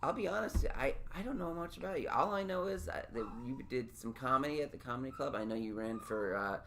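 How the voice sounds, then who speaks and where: A man's voice: mid-range voice, fast, creaky, fairly bright tone, a little hiss or hum. A man hosting a podcast, chatting casually with a guest in a rambling, self-deprecating, joking way. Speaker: podcast host. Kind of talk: casual interview chat.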